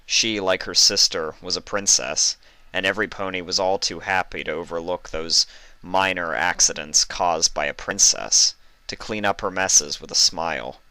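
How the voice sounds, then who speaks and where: Male storyteller, low bright voice, expressive reading, close dry recording. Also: normal speed, clear, resonant tone, dry recording. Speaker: story reader and channel host. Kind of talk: expressive scripted storybook narration with casual introduction.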